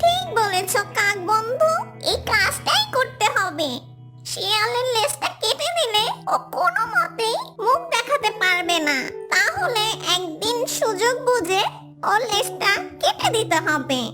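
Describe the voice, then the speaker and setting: A woman's voice: extremely high pitch, very animated, a little slow, exaggerated voice. A woman voicing a small grieving mother tailorbird bent on revenge in a children's cartoon, emphatic and childlike. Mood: resolved. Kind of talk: children's cartoon story dialogue. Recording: music behind the voice. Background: children's music.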